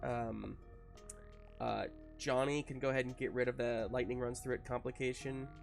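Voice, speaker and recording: Guy game mastering a tabletop rpg, mid voice, informal n halting, bit of music under. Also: mid-range voice, steady tone, vocal fry. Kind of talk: narrating a tabletop roleplaying game, improvised.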